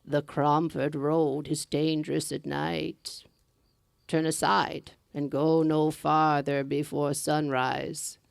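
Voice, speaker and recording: Folktale crone acted by a female storyteller: mysterious, kindly, slow foreboding delivery, dry sound. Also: low voice, little pitch movement, gravelly, dry recording, pitched below the performer's own voice, exaggerated voice. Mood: grave, cautioning. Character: mysterious kindly old woman giving warnings.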